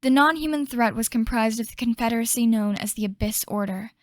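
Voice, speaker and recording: Woman doing solemn fantasy game narrator, measured, highish voice, super dry recording. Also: no room sound, natural voice.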